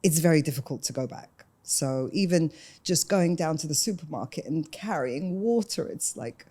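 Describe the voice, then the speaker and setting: A woman in her forties speaks with a London accent. Her voice sits low and soft-edged, with a dark, mellow tone. She talks in a casual, chatty way, telling personal stories as an interview guest. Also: animated, moderate pace, slightly rough. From London, UK, of Sudanese parents. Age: 40s. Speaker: podcast guest.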